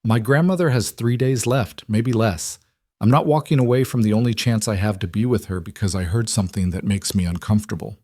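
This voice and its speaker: A man's voice: lowish voice, fairly quick, dark tone, no room sound, clean studio sound, natural voice. Tense, defiant young woman interpreter with clipped, controlled lines, read by a male narrator.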